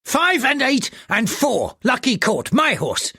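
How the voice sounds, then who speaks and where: A man's voice: very high voice, wildly swooping pitch, slow, bright, forceful tone, husky, dry recording, clean studio sound, pitched above the performer's own voice, full character voice. A male audiobook reader voicing a rude, impatient racetrack bettor who curses coarsely. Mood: cursing. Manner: coarse, cursing.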